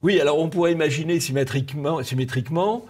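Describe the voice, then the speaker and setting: A man giving conversational political commentary in halting, repeated phrases. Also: a little high, a little mellow, breathy edge. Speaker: media commentator.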